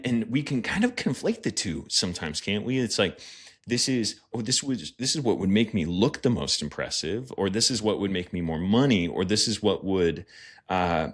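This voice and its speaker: Low-voiced reflective man in his thirties. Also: a bit bright. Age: 30s. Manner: thoughtful, self-deprecating, rambling with fillers. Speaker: podcast guest, pastor. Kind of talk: reflective podcast conversation.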